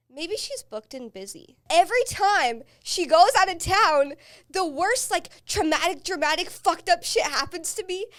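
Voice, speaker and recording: A young woman hosting a podcast, telling casual stories with frequent laughs and swearing. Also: sits high. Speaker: podcast host. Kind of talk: casual chat.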